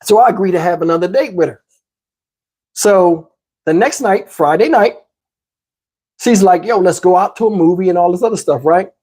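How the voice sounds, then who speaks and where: A man's voice: sits high, normal speed, pauses often, a little mellow. A man telling a personal story in a casual, comedic, slangy way, animatedly acting out the dialogue. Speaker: storyteller, channel host.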